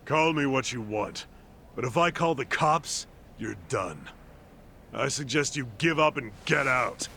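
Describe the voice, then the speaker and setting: A man's voice: medium pitch, lively intonation, unhurried, vocal fry, pushed and bright, slight background noise, exaggerated voice. A man playing a smug, menacing yakuza villain who runs a facility in a game cutscene, condescending and threatening. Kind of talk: dramatic game cutscene voice acting.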